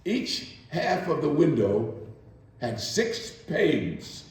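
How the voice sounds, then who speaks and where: A man giving a theatrical first-person dramatic reading, deliberate and emphatic with dramatic pauses, recorded far from the mic in an echoey room. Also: a little high, big pitch swings. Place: live performance in reverberant room.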